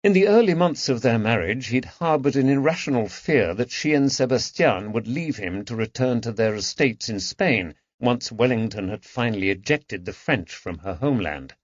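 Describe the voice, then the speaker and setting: A man narrates a historical detective novel in a scripted audiobook style. His pitch sits mid-range for a male voice, and the recording is dry and somewhat dull. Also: expressive, normal speed, hardly any pauses, no room sound.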